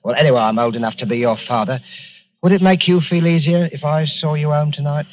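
Man acting a nervy apologetic middle-aged salesman, slightly high voice, stammers, muffled old radio play. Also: fast talker, lightly acted. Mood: polite, hesitant. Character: timid, anxious middle-aged travelling stockings salesman. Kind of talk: 1940s radio drama acting. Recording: dull vintage radio recording.

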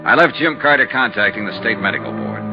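A man's voice: on the low side, fast talker, close to an everyday voice. An American actor playing a cool, businesslike insurance investigator who narrates his case in clipped 1950s radio style. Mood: brisk, businesslike. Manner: clipped, matter-of-fact delivery. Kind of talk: 1950s radio detective acting. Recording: muffled 1950s radio recording with music.

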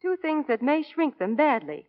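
High, very animated 1940s radio ad woman. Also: normal speed. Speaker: radio commercial spokeswoman. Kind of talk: scripted 1940s radio advertising. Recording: dull 1940s radio broadcast.

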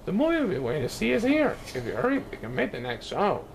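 Man as kindly storybook guardian, gently cautioning, animated pitch, clearly noisy home recording. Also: quick pace, exaggerated voice. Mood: eager. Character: kindly man in yellow hat, cautioning his little friend.

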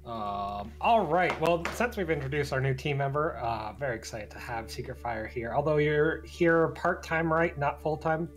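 A man's voice: a little high, moderate pace, few pauses. A man hosting a casual chat show, putting questions to his colleagues. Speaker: show host.

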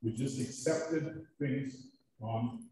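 A man giving a lecture at a society meeting, talking haltingly with many fillers. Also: medium pitch, dark tone. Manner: halting, many ums and uhs. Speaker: guest speaker. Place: large meeting room. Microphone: distant room mic. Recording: echoey room from far off, faint noise.

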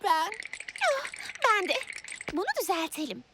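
A woman's voice: extremely high pitch, big pitch swings, measured pace, very noisy, full character voice. A woman voicing a cheerful, adventurous eight-year-old girl in a cartoon dub, childlike and encouraging. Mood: upbeat, caring. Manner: upbeat, encouraging.

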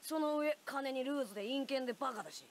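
A woman voicing a cheeky, stubborn boy ninja in a game cutscene, using rough boyish slang. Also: high voice, fast talker, a little hiss or hum. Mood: complaining.

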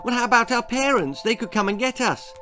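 A man voicing a cheeky, excitable young boy who tells fibs in a children's horror-comedy storybook. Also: extremely high pitch, brisk, soft background music, voice pushed up, heavily acted. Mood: eager, urgent. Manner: cheeky, pleading, eager.

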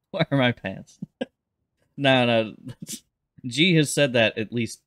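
A man's voice: mid-range voice, slow pace, fairly bright tone, dry recording, clean studio sound. A man chatting casually as he plays and streams a roleplay game.

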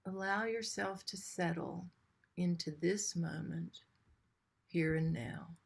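A woman leading a guided meditation in a gentle, soothing manner, leaving long pauses between short phrases. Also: lowish voice, fairly even intonation, gravelly, slightly rough.

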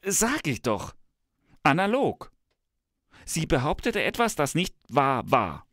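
A man's voice: fairly high pitch, huge pitch swings, takes it slowly, fairly bright tone, no echo, studio quality, full character voice. A man playing a smug, tech-enthusiast professor in a scripted comic dialogue, speaking emphatically and theatrically. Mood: insistent. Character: smug male professor, enthusiastic believer in technology. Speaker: podcast co-host in a comic professor role. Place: radio studio. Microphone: studio microphone.

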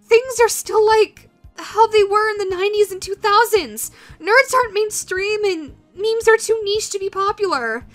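A woman playing an awkward, self-deprecating young meme lover flustered by love, speaking haltingly and nervously in a romantic comedy audio roleplay. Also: very high voice, soft background music. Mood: agitated, despairing.

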